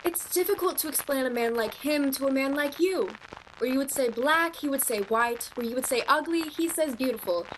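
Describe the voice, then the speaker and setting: A woman acts out a young romantic shop clerk in a radio play, her voice high. She is earnest, proud and a touch haughty, with faint noise behind her. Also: normal speed, close to an everyday voice, poor audio. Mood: smug, defensive.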